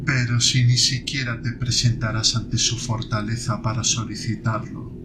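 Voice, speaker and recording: A man playing a skeptical veteran Iron Warrior who speaks in a slow, wary, questioning way. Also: mid-range voice, flat delivery, noisy background, natural voice. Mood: doubtful.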